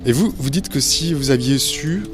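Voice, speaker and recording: A man's voice: medium pitch, normal speed. Man questioning passers-by, music underneath. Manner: friendly, curious questioning. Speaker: independent journalist, podcast host. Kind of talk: casual street interview. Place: street outdoors.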